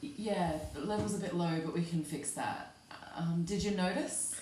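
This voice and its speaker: A woman's voice: lowish voice, normal speed, darkish tone. A woman working as a podcast producer, chatting casually off-mic in an echoey, noisy room. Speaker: podcast sound engineer or producer.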